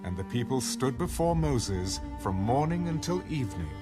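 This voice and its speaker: A man's voice: medium pitch, very animated, normal speed, lightly acted. A man reading scripture as the narrator of a dramatized audio Bible. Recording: music bed under the voice. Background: music.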